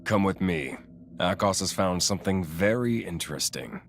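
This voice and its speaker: A man's voice: sits low, animated, normal speed, vocal fry, a little hiss or hum. A man playing a serious, businesslike villain's lieutenant in a video game cutscene. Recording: music over the voice.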